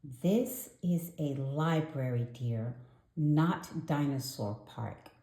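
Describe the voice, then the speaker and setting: A woman voicing a polite, prim female otter librarian in a children's storybook, gently and sweetly correcting. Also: sits low, takes it slowly. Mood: stern. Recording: echoey room.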